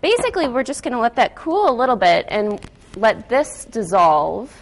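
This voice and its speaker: A woman's voice: fairly high pitch, sing-song, normal speed, rough recording. An American woman chatting casually and enthusiastically as a guest food stylist, walking through a cooking demonstration in informal speech. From Wisconsin, United States.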